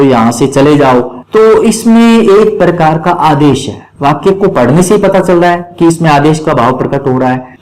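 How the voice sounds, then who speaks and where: A man's voice: on the high side, darkish tone, rough recording. An Indian man, a native Hindi speaker, explaining grammar as a teacher in a methodical, step-by-step way. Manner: methodical, step-by-step, repetitive instructional phrasing. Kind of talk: explanatory lesson, reading examples aloud.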